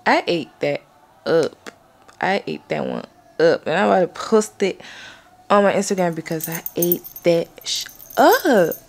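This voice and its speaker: A woman's voice: fairly low pitch, expressive, unhurried, vocal fry. A young woman chatting casually and informally to camera in a slangy vlog style. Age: young adult. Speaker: vlogger.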